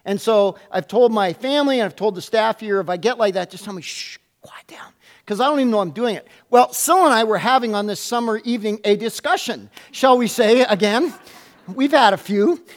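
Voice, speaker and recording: Loud emphatic man preaching. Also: sits high, expressive, a little fast. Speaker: pastor. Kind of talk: sermon.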